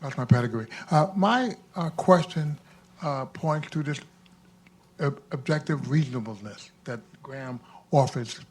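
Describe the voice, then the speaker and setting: A man's voice: medium pitch, animated, measured pace, a little mellow, slightly rough. An older man, a retired police officer, asking a formal question from the audience. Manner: formal, self-assured.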